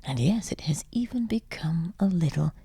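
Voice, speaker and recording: A woman guiding a sleep meditation, speaking gently and soothingly in a breathy, creaky half-whisper with lingering pauses. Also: deep voice, lively intonation, no echo, studio quality. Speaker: meditation guide. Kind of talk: guided sleep meditation, soft instruction.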